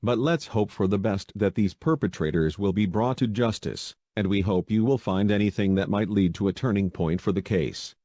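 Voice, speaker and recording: A man's voice: fairly low pitch, normal speed, hardly any pauses, dark tone, close to an everyday voice. A man playing a local TV news anchor, reading a scripted, serious breaking-news bulletin. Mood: grave, concerned. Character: male local TV news anchor reporting an attack.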